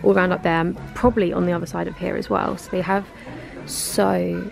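A woman's voice: on the low side, level tone, fairly quick, vocal fry, soft background music, rough recording. A young woman, chatting casually to camera as she browses a large home goods store with a handheld camera. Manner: chatty, giggly, talking to camera while browsing. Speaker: vlogger. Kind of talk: casual shopping vlog chat.

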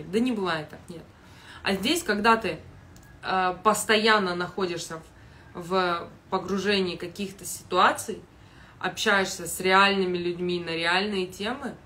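A young woman giving casual video commentary in a blunt, halting, heavily sweary manner, recorded on a phone in a kitchen. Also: on the low side, a bit bright. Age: young adult. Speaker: reality show commentator. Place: small kitchen indoors. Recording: noticeable background noise.

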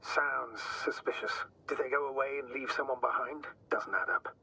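A man playing a calm, skeptical astrogator who guides a mission in a video game, measured and matter-of-fact. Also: mid-range voice, breathy edge, full character voice, rough recording. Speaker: astrogator guiding by radio. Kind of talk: naturalistic video game acting.

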